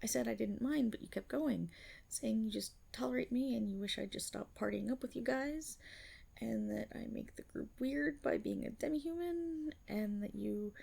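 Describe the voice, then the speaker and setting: A woman voicing a timid young half-dragon rogue in an intimate ASMR roleplay, breathy and hesitant, anxious but proud, stammering and rambling. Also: fairly high pitch, warm tone, full character voice. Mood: hurt, upset. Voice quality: breathy, often slipping toward a whisper. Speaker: roleplay voice performer.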